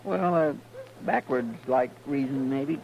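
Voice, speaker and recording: An actor playing a simple-hearted Texan marshal's helper, folksy and rambling, with a Southern drawl, in a 1950s radio western. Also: male voice, mid-range voice, takes it slowly. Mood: earnest, musing. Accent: American Southern drawl. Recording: dull, noisy old radio recording.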